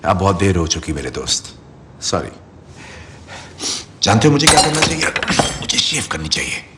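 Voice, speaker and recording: A man acting in a film dub as a bitter, exhausted, sarcastic superhero, ranting emphatically in a husky voice. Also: low-pitched, big pitch swings, moderate pace, very noisy. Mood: angry, accusing.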